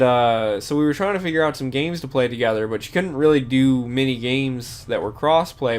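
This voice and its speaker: A young man chatting casually while streaming a game, stuffy-nosed and sniffling, rambling with many fillers. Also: mid-range voice. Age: young adult. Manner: rambling, lots of fillers and self-corrections. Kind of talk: casual gameplay stream chat.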